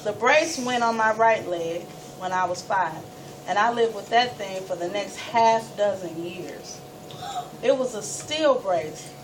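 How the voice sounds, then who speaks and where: A woman storyteller reading a first-person story aloud with dramatic, emphatic delivery and deliberate pauses. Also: mid-range voice. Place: live event space, recorded from a distance. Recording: distant mic, noisy room ambience.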